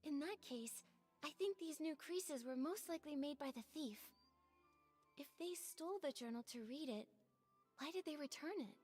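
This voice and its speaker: A woman playing a gentle, curious young heroine in a video game, speaking politely and thoughtfully. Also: high-pitched, animated, moderate pace, soft background music.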